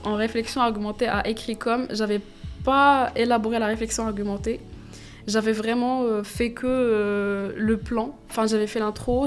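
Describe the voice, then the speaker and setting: A woman's voice: a little high, darkish tone. A young woman, a business school student whose first language is Arabic, giving casual interview answers with many fillers and restarts. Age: young adult.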